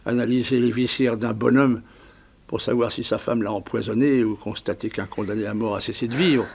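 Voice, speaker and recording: A man's voice: mid-range voice, steady tone, brisk, vocal fry, a little mellow, husky. An actor playing a self-pitying, devoted older father and former surgeon in a 1960s French radio drama, with a theatrical, sighing delivery. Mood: bitter resignation. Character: ailing, embittered older doctor, devoted father, once a surgeon.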